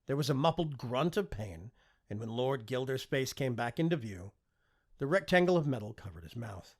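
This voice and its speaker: Husky, animated male audiobook narrator. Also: mid-range voice, normal speed. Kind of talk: dramatic scripted fiction narration.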